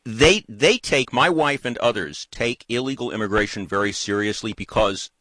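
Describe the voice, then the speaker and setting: A man in casual political chat, thoughtful and animated, talking in long winding runs. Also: on the low side, moderate pace, dry recording. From United States.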